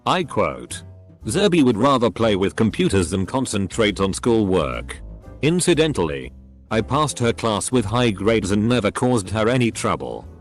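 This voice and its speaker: A man narrates short anecdotes in a lowish voice whose pitch rises and falls a lot. He reads in a scripted way, spelling out abbreviations and even saying punctuation out loud. Soft background music runs quietly underneath. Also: normal speed. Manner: reads punctuation and letters out literally. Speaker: narrator reading online posts. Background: faint background music.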